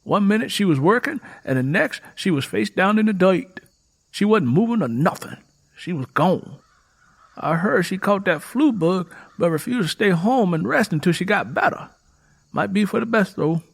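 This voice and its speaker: Man acting defiant rough country laborer, pitched high. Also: expressive, normal speed, full character voice. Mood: grim, certain. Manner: folksy rural vernacular, double negatives. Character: rough young rural laborer, poor, defiant, quick-tempered, hard-drinking. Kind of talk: audiobook character dialogue.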